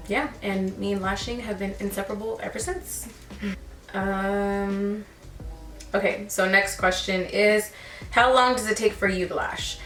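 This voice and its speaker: A woman's voice: mid-range voice, fairly bright tone, far from the mic. A young woman in her twenties talks in a chatty, easygoing way, calling her listeners girl and sis. She sits a little back from the mic, and her voice carries the echo of the room she is in. Faint music plays underneath. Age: 20s. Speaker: lash technician answering viewer questions. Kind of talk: casual Q&A chat while sipping wine.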